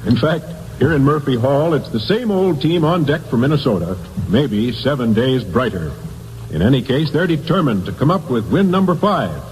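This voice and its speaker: A man's voice: mid-range voice, animated, normal speed, darkish tone, slight background noise. An American man refereeing on a 1950s radio quiz, speaking from a reverberant hall on an old broadcast. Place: university hall, remote broadcast.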